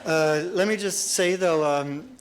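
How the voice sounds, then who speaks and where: A man's voice: fairly high pitch, measured pace. A middle-aged man with a German accent giving an earnest lecture, often repeating words as he restarts his sentences. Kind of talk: conference lecture.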